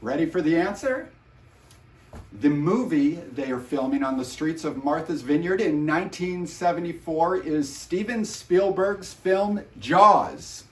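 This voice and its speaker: A man's voice: on the high side, lively intonation, a little slow. A man presenting a trivia question casually, with short conversational asides. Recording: roomy echo, distant from mic.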